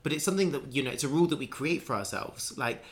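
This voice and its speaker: A man's voice: mid-range voice, quick pace. A man in casual conversation, musing at length with many restarts and fillers. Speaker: conversation partner.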